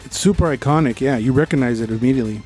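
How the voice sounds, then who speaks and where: A man's voice: medium pitch, very fast, music in the background. A man co-hosting a podcast, chatting casually about movies with slangy, enthusiastic fan talk. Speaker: podcast host. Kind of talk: casual movie review chat.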